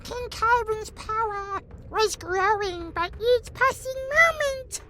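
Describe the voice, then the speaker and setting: A tiny sprite with an extremely high, childlike voice. The delivery is slow, earnest and halting, as in a fantasy audio play. The recording is clearly noisy. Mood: earnest, solemn. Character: small, childlike sprite lord giving solemn testimony. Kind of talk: fantasy audio roleplay acting.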